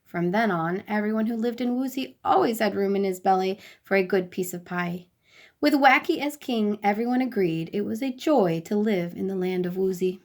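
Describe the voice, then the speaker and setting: A woman storyteller reading a children's bedtime story in a deliberate, expressive way. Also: mid-range voice.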